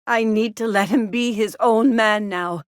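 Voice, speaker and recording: A woman's voice: fairly high pitch, a little slow, no room sound, studio quality, lightly acted. An actress playing a doting, anxious middle-aged frontier mother in a video game, with a melodramatic, folksy manner. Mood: resigned. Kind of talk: video game character dialogue.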